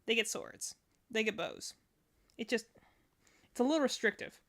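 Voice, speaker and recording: A woman's voice: a little high, animated, normal speed. A woman hosting a casual reaction livestream, thinking aloud in a rambling way. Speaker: streamer, reaction host.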